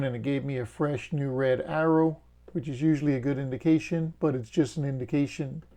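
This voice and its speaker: A middle-aged man from the Bahamas with a Bahamian accent, casually walking through his trades and explaining his rules in a plain, steady way. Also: medium pitch. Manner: plain, steady explaining with fillers and folksy jokes. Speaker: options trading channel host. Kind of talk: casual screen-share walkthrough of trades.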